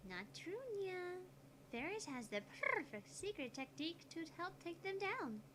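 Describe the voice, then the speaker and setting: A woman's voice: very high voice, very animated, normal speed, heavy background noise, voice pushed up. A woman voicing a playful, teasing young catgirl maid who ends her lines with a cat sound.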